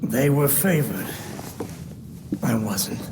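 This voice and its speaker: An actor playing an aging, cultured crime boss in a video game, with a dry, sardonic, unhurried delivery. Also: male voice, medium pitch, lively intonation, reverberant, background noise. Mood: cryptic, sardonic. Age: older. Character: sly aging male crime boss, cultured, wary, unruffled. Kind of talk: cinematic video game acting. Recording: music under the voice. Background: loud music.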